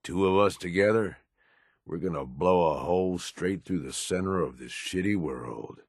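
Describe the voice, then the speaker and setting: A man playing a gruff, middle-aged American raider henchman in a video game, cynical but loyal, with folksy, profane, drawling speech. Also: sits low, normal speed, a little mellow, no room sound, clean studio sound. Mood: earnest, awkward. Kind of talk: video game companion dialogue.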